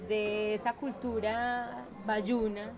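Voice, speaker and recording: A woman's voice: a little high, flat delivery, slow pace, vocal fry. A Colombian woman conducting a casual interview, feeling her way through her questions with some hesitation. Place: public market. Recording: busy market crowd noise.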